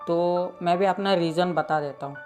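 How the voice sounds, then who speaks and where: Teenage boy, sore throat, slightly hoarse, casual chatty recap, faint music. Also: high-pitched, moderate pace, darkish tone. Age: late teens. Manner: chatty, full of "matlab" fillers. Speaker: YouTube channel host. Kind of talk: casual cartoon episode recap.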